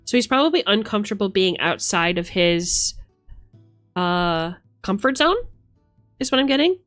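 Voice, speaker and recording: A woman's voice: medium pitch, expressive, moderate pace, fairly bright tone. A woman giving a casual, chatty tarot reading on a live stream, musing aloud in informal language. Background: background music.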